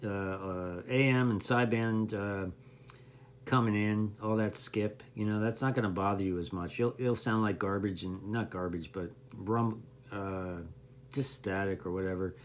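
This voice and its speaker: A man's voice: on the low side, steady tone, measured pace, a little hiss or hum. Rambling, folksy man explaining casually. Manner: rambling, folksy, self-correcting. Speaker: CB radio hobbyist explaining gear to beginners.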